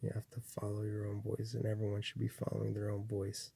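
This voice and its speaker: A man from Chile speaks in a low, deeply gravelly and husky voice, his tone dark and mellow. He muses slowly on spiritual matters, circling back over his words with pauses and restarts. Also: monotone, no room sound. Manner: slow, rambling, with many restarts and fillers. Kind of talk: reflective spiritual monologue.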